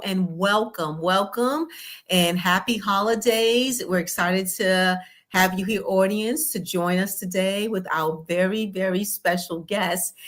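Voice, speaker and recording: American woman co-hosting a show. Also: medium pitch. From US East Coast. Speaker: show co-host. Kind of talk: upbeat show introduction and guest introduction.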